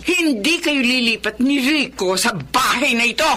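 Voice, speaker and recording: A woman's voice: a little high, expressive, a little slow, noisy background. An actress with a Filipino accent playing a vain, defiant widowed mother in a theatrical Tagalog radio drama style. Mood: indignant. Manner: theatrical, sassy, indignant.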